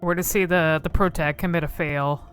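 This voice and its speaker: A woman's voice: on the low side, steady tone, moderate pace, soft background music. A woman giving casual game commentary, rambling and thinking aloud as she explores cutscenes.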